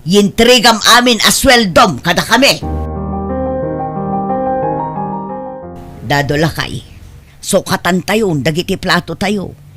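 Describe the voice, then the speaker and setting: A woman's voice: mid-range voice, normal speed, loud music behind the voice, natural voice. A woman with an Ilocano accent playing a demanding, materialistic middle-aged mother in an audio drama, pushy and entitled. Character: demanding, materialistic mother living off her working child.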